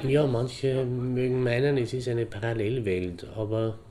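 An actor playing a thoughtful man philosophising in a radio play, measured and pondering, with faint noise around him. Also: medium pitch, steady tone, lightly acted. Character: thoughtful man philosophising about parallel worlds. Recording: faint background noise and ambience.

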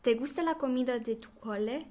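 A young teenage schoolgirl with a high voice talks through her part of a class radio report. She sounds chatty and upbeat. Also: fast, a little mellow. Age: young teen.

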